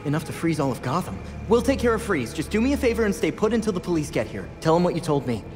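A man's voice: fairly high pitch, very animated, fast talker. A man playing an earnest, tech-savvy young vigilante sidekick in a video game cutscene. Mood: determined. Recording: long reverb under loud music.

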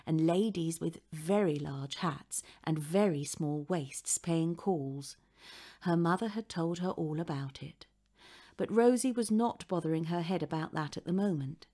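Woman, slightly low pitch, children's audiobook narrator, scripted storytelling. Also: a little mellow.

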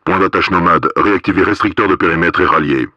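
An actor playing a stern, cold paramilitary police officer in a video game, giving terse commands through a radio filter. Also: male voice, sits low, fast, bright, forceful tone, no echo, muffled recording, exaggerated voice. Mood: clipped reporting. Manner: terse, commanding, coded military phrases.

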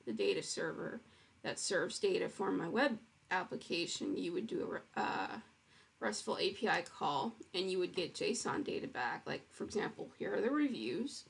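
Husky, gravelly woman explaining a web app demo. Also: fairly low pitch, animated, moderate pace. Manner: casual, explanatory, with frequent ums and restarts. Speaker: web developer presenting her project. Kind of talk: screen-recorded software demo.

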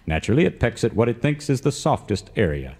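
A melodramatic radio announcer narrates a western serial parody. His mid-pitched voice is grave and florid, on a noisy recording. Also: big pitch swings, quick pace.

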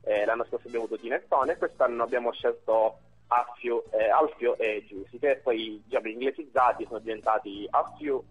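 A young man explaining things haltingly in a phone interview. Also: mid-range voice, steady tone, breathy edge. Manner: hesitant, halting. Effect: telephone. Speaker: interview guest, university robotics team member. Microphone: telephone. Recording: tinny phone line, music underneath.

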